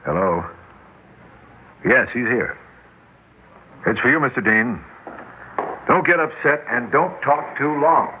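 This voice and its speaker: A brisk doctor, noisy old radio. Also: male voice, medium pitch, animated. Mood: brisk, firm. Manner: brisk, official, placating. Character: firm, sceptical hospital doctor, a man. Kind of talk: old-time radio drama acting. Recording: noisy, narrow-band classic radio recording.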